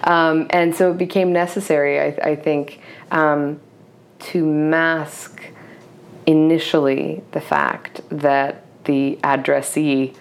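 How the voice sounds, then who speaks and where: A woman's voice: sits low, flat delivery, slow pace. A woman novelist, not a native English speaker, answering interview questions hesitantly, with many restarts, fillers and a reflective search for words. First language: Serbo-Croatian. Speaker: novelist guest. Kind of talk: interview conversation.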